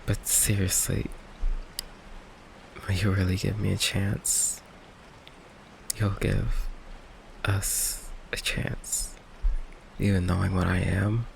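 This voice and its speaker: Casting: male actor as tender, sheepish vampire boyfriend for ASMR audio; low, heavily creaky voice, soft hesitant delivery. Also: level tone, heavily acted, low-quality recording. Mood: tender, earnest. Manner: soft, hesitant, halting, breathy sighs and chuckles. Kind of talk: intimate ASMR roleplay. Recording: faint noise, rain ambience behind. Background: rain ambience.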